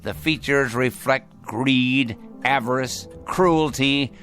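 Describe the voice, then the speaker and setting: An actor playing a sly, imperious old grandfather in a radio drama, speaking deliberately and theatrically, with a sardonic, probing manner. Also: medium pitch, fairly bright tone, faint music behind. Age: older. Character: sly, imperious dying grandfather toying with his heirs.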